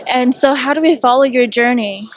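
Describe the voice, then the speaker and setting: A woman's voice: high voice, normal speed, slight background noise. A woman hosting a red carpet, interviewing award nominees in an upbeat way.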